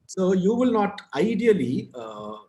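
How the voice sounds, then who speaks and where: A man's voice: sits high, big pitch swings, unhurried, warm tone. A man with a South Indian accent, a guest on a video-call interview, talking in a frank, rambling way with restarts and casual swearing. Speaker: guest, advertising writer and author.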